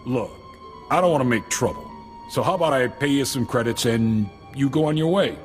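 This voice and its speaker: An actor playing a hard-nosed, slangy street gang leader in a video game cutscene. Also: male voice, medium pitch, expressive, fairly quick, faint music behind, close to an everyday voice. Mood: bargaining. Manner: tough, slangy.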